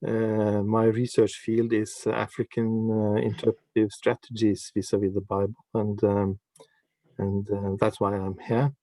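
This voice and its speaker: Male professor on a video call. Low, flat, warm, dry sound. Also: no echo, soft background music. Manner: measured, with fillers. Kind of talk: self-introduction in an online seminar.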